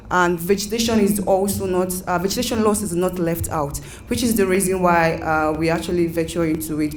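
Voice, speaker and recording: A woman's voice: lowish voice, slight background noise. A woman from Nigeria with a Nigerian accent, giving an academic conference presentation with many fillers and self-corrections.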